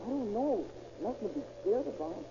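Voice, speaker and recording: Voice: mid-range voice, expressive, fairly quick, lightly acted. A performer playing a practical, bluffing small boy junior policeman with slangy, boyish talk in an old-time radio drama. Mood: dismissive, uneasy. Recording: muffled, noisy vintage radio recording.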